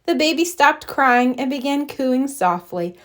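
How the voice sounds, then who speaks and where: A woman reading a children's story aloud in an expressive, scripted storytelling style. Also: high voice, a little slow. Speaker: storybook reader.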